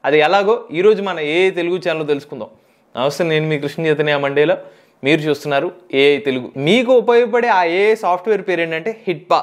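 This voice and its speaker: A man with a Telugu accent hosting a step-by-step software tutorial, instructional and mixing in English tech terms. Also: on the high side, quick pace. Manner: instructional, mixes English tech words into speech.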